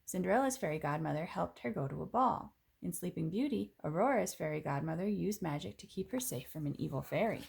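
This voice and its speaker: A woman reading a children's picture book aloud in an expressive, clearly phrased way, with casual asides. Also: lowish voice, fairly quick, few pauses.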